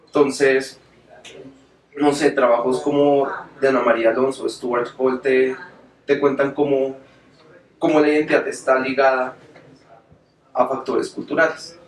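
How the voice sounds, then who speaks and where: A young man, a gastronomy lecturer calmly explaining ideas in an interview in a small office. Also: medium pitch, unhurried, long pauses, echoey room, distant mic, poor audio. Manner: explanatory, academic, casual slang. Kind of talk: interview answers.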